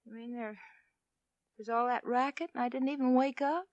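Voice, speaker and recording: An actress playing a sweet, concerned young wife in 1940s radio drama style. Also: female voice, fairly high pitch, steady tone, moderate pace, darkish tone, dry recording, highs cut off, soft background music, natural voice. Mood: worried, insistent.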